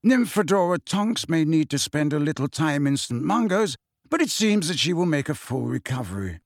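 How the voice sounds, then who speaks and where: A man voicing a calm, kindly, grave old wizard headmaster in an audiobook, speaking in a quiet, measured way. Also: on the high side, gravelly, dry recording, studio quality. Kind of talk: audiobook character voice.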